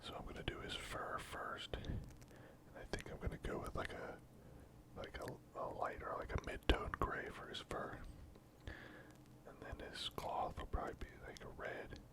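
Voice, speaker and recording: A man speaking in a breathy, unvoiced whisper, giving casual, unhurried ASMR commentary as he works and thinks aloud in halting phrases. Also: rough recording. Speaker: host of a miniature painting channel.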